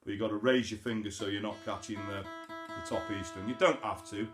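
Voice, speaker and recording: A man's voice: on the low side, fairly even intonation, brisk. Casual male guitar teacher, roomy sound. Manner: chatty, informal, explains step by step. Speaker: guitar teacher, host of an online lesson series. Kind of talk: casual spoken guitar tutorial. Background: acoustic guitar.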